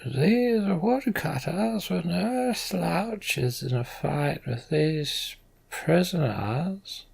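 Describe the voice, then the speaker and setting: A man voicing a gruff, wry old giant wanderer with a wheezy, creaky voice and a slow, drawn-out delivery, in a fantasy game. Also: on the high side, expressive, darkish tone, pitched above the performer's own voice, heavily acted.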